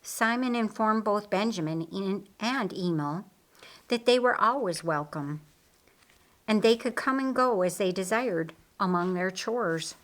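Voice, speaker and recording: A woman's voice: mid-range voice, expressive, unhurried, fairly bright tone. A woman reading a story aloud as an audiobook narrator, in scripted storytelling style.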